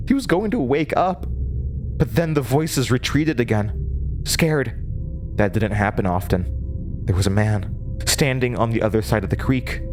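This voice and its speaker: A man playing an unhinged, lonely, obsessive woman possessed by voices, in a halting, wistful horror audio drama monologue. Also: medium pitch, natural voice. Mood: eerily delighted.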